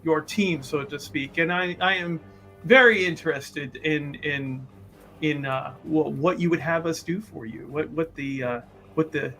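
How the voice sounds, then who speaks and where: A man's voice: on the high side, unhurried, pushed and bright, faint music behind. A man playing a tabletop roleplaying game, casually explaining his character and often repeating and rephrasing his words as he goes.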